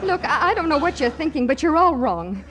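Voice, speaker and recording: A woman's voice: sits high, very animated, brisk, a bit bright, some chatter behind. A woman playing a flustered, indignant young working woman in a 1940s radio comedy, with stammering, emphatic protests. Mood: exasperated, determined. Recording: noisy 1940s radio broadcast recording.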